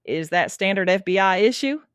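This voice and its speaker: A woman's voice: medium pitch, animated, moderate pace, fairly bright tone, clear, resonant tone, no room sound, studio quality, lightly acted. A woman playing a tough, blunt female Texas Ranger in a sci-fi audio drama, direct and questioning with a wry edge. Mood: challenging.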